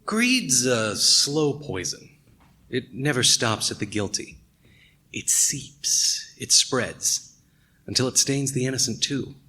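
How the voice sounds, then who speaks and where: A man playing a brooding, philosophical detective in a noir radio mystery, speaking in a deliberate, musing way. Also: medium pitch, big pitch swings, bright, forceful tone, full character voice. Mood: brooding, measured.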